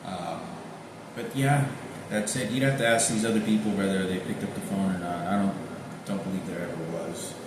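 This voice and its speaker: A man rambling through personal memories in a halting, repetitive way, often searching for names. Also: low voice, steady tone. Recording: very echoey room with noticeable background noise.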